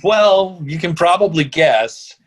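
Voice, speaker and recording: A man's voice: on the high side, lively intonation, slow pace. A man playing an estranged, awkward father in a theatre reading, hesitant in delivery. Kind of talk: readers theatre acting.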